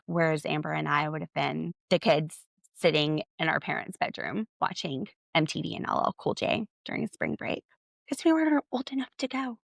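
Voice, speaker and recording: Gravelly-voiced woman recapping with jokey asides. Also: a bit bright, no room sound, studio quality. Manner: chatty, jokey, sarcastic asides; wide swings in pitch and pace. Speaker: podcast host. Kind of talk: solo recap commentary.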